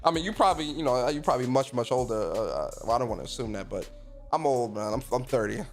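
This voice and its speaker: A man's voice: medium pitch, expressive, quick pace, faint music behind. Chatty man in his thirties, livestream host. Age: 30s. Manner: loose and swearing, laughs often, shout-outs to chat. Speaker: streamer and podcast host. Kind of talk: casual livestream chat reading viewer comments.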